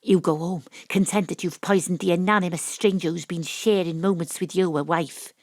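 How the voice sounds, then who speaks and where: A woman acting in an audio drama as a shrewd, theatrical amateur detective who narrates and probes deliberately. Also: fairly low pitch, fairly even intonation, no room sound. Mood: triumphant deducing. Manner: deliberate, probing, storytelling. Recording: dry, close home-studio recording.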